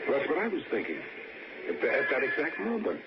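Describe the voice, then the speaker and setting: An actor in a 1960s radio suspense play, playing an awkward, forward diner customer who halts and repeats himself. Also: male voice, on the high side, huge pitch swings. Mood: uneasy. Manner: halting, stammering, repeating himself. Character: awkward, forward male diner customer, uneasy first-person storyteller. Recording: very noisy muffled old radio recording.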